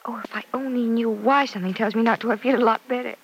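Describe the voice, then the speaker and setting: A woman's voice: on the high side, steady tone, fast, slight background noise, natural voice. A woman playing a hesitant young radio-serial heroine. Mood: resolved yet uneasy. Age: young adult. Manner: hesitant, soft-hearted, confiding. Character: earnest, self-doubting young woman torn over love. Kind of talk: old-time radio serial acting.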